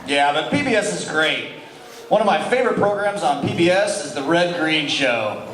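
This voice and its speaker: A man's voice: a little high, animated, normal speed, natural voice. A man playing a chatty radio show host in comic banter on a live amateur stage. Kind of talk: old-time radio comedy sketch banter. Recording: echoey hall, distant mic, loud crowd noise.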